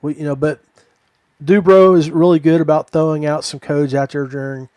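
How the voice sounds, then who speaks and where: Higher-pitched folksy male podcast host. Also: fairly even intonation, normal speed, darkish tone, dry recording. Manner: folksy, addresses listeners as folks and guys. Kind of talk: casual chat about fishing gear.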